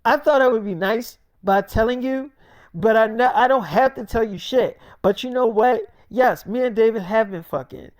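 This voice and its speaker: A man's voice: high-pitched, fairly quick, a little mellow, no room sound, clean studio sound, voice pushed up, lightly acted. A man voicing a blunt, defiant young woman in a storytime, defensive and brazen, with plenty of swearing.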